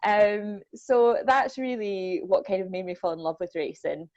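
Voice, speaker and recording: A woman's voice: mid-range voice, animated, measured pace, darkish tone, no echo. A young Scottish woman from the Borders, a welfare manager chatting casually and self-deprecatingly with lots of ums. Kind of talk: casual chat. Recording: dull lo-fi sound.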